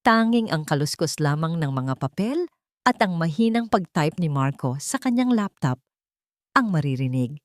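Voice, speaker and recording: A woman's voice: deep voice, sing-song, moderate pace, dry recording, studio quality. A woman narrating a scripted audio drama in a dramatic, carefully phrased storytelling manner.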